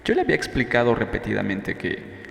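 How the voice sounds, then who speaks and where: A man with a lowish voice narrates a novel in a measured, dramatic way. The sound is roomy with a long reverb, and faint music plays underneath. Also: very animated, vocal fry. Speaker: audiobook narrator. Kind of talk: scripted first-person novel narration.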